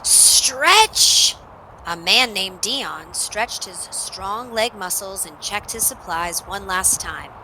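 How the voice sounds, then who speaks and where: A woman narrating a children's story in an expressive read-aloud style, with dramatic emphasis on action words. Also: medium pitch, slow pace, hardly any pauses, slight background noise, low-quality recording.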